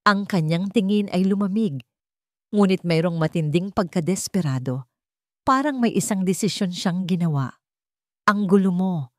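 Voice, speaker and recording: Woman, Filipino accent, lower-pitched voice, dramatic story narration, deliberate emotive phrasing, close dry recording. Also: lively intonation, a little mellow, no room sound.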